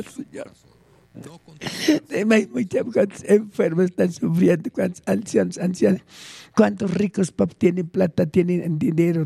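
Dark, husky Peruvian man in fervent prayer. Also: high voice, dry recording. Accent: Peruvian Spanish. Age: older. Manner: pleading, repetitive short phrases with frequent invocations.

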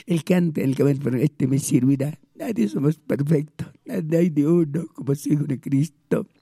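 Pleading Peruvian pastor, dark dry voice. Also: male voice, fairly high pitch, moderate pace, vocal fry, husky, dry recording. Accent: Peruvian Spanish. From Peru. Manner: pleading, repetitive invocations. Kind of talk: fervent spoken prayer.

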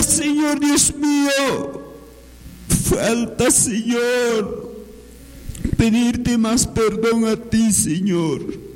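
A man praying fervently and spontaneously in a pleading, impassioned manner, repeatedly calling on God. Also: very high voice. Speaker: worshipper leading prayer. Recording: long echoing hall reverb.

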